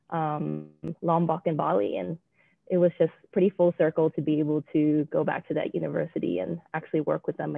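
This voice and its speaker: A woman in her twenties from Hong Kong, introducing herself on a video call in a relaxed, steady way with frequent ums. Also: low-pitched, vocal fry, dry recording. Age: 20s. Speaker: panelist. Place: small room indoors. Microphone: earbuds on a video call. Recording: tinny, phone-like call audio.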